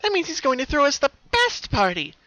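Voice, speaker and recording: A man voicing a bubbly, giggly, excitable young female pony in a dramatic story reading. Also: very high voice, fairly quick, no echo, pitched above the performer's own voice, exaggerated voice. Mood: giddy excitement. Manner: excited, bouncy, gushing delivery.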